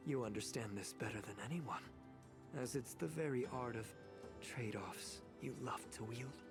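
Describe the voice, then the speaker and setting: A man voicing a scheming, smug official in a video game, speaking formally and with measured menace. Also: medium pitch, lightly acted. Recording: game music behind.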